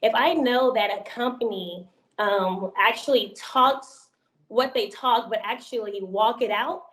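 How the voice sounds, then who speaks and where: A woman's voice: on the high side, a little slow, a bit bright. Enthusiastic young woman in an echoey room. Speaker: panelist. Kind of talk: virtual panel discussion.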